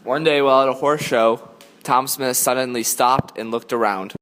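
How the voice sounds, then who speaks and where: A man with a mid-pitched voice narrates a story from a script. His voice carries a long hall reverb, with music playing behind it. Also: steady tone, normal speed, fairly bright tone.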